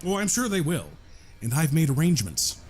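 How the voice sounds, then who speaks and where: An actor in an audio drama playing a glib, teasing gentleman genius, sardonic and mock-polite in his wordy delivery. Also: on the high side, sing-song, a little fast, heavy background noise. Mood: wry, composed.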